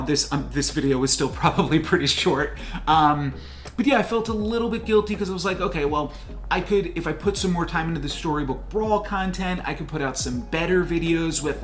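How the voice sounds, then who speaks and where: Chatty young man, slightly high voice. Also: a bit bright. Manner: chatty, rambling with fillers and self-restarts. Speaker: gaming channel host. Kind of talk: off-the-cuff game commentary outro. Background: faint background music.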